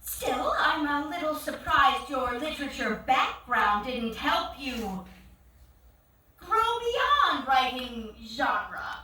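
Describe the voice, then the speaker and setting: Actress as condescending literary snob; high, very animated delivery, theatrical, distant in a reverberant theatre. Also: female voice, slow, exaggerated voice. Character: haughty female literary snob on a date. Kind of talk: staged play reading. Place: indoor theatre stage, far from the camera. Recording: echoey theatre, distant camera, faint noise.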